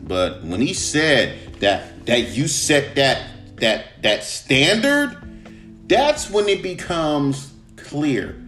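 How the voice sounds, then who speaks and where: A man with a smooth baritone voice, hosting a casual solo podcast and ranting about his own music, swearing often. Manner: animated, swears often, jumps to a high voice when mimicking fans. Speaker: podcast host and rapper. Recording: roomy room with guitar music behind.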